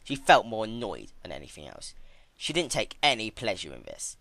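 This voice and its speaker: A narrator reads a story dramatically with a very animated pitch. The tone is bright and forceful. The recording is dry, with next to no room sound. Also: normal speed, gravelly, no room sound. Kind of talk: dramatic fan fiction story reading.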